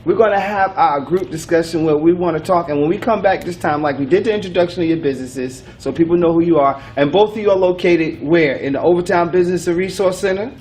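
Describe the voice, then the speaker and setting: A man's voice: fairly high pitch, quick pace, hardly any pauses, a little mellow, slight background noise. A man hosting a local business talk show, talking in a loose, rambling way with many restarts. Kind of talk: casual interview chat.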